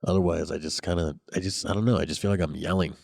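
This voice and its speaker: A man guesting as a comedian on a podcast recorded in a car, talking in a laid-back, low-key way. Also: steady tone, fast talker, gravelly, dry recording, clean studio sound. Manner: laid-back, low-key, self-described non-yeller. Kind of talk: casual chat. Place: inside a parked car. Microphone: very close to the mic.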